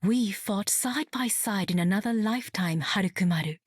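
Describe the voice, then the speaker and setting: A woman voicing a calm, solemn swordswoman in a video game. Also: mid-range voice, normal speed, slightly rough, no room sound, studio quality, close to an everyday voice. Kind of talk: video game character dialogue.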